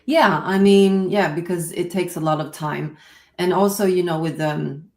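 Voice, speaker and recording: A woman's voice: fairly low pitch, fairly even intonation, moderate pace, a little mellow, roomy sound, far from the mic. A woman with a Swedish accent, English not her first language, talking hesitantly in a casual online roundtable. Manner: hesitant, searching for words. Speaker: small creator on a panel.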